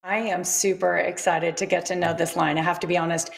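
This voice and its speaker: A woman's voice: lowish voice, little pitch movement, fairly quick, gravelly. Upbeat woman co-hosting daytime TV. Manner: upbeat, enthusiastic. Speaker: morning show co-host. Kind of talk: daytime TV interview. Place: studio set.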